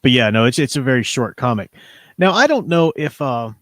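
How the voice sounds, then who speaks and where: A man's voice: medium pitch, big pitch swings, a little fast, fairly bright tone, dry recording, studio quality. A man hosting a livestream, talking casually about comics in a rambling, hedging way. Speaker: livestream host.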